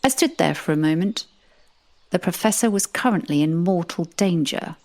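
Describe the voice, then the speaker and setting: A woman's voice: fairly low pitch, animated, normal speed, darkish tone. A woman narrating a comic audio drama in the first person, with a dry, sardonic manner.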